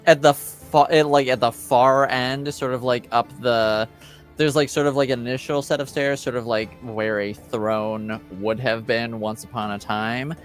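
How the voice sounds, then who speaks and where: A man working as game master, improvising scene descriptions in a halting, hedging way. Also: mid-range voice, steady tone, soft background music. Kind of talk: improvised tabletop game narration.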